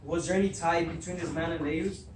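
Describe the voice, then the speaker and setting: A man's voice: a little high, fairly even intonation, brisk, close to an everyday voice. A young man acting a troubled, hot-tempered king in a readers theatre Greek tragedy, full of fear and guilt. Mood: grave, recounting. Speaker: student actor. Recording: distant echoey room recording with background noise.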